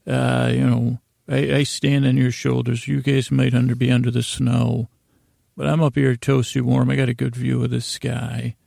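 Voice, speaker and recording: A man hosting a bedtime podcast in a meandering, halting way, often restarting and correcting his own sentences, with a gravelly voice. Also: medium pitch, little pitch movement, a little mellow, no echo, clean studio sound. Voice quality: gravelly, creaky. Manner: meandering, halting, self-correcting with repeated false starts. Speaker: podcast host. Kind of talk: rambling bedtime podcast intro.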